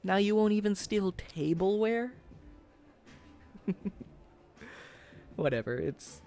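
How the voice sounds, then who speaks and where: Man acting a nervous, smitten young guy, higher-pitched. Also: lively intonation, warm tone, full character voice. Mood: teasing, fond.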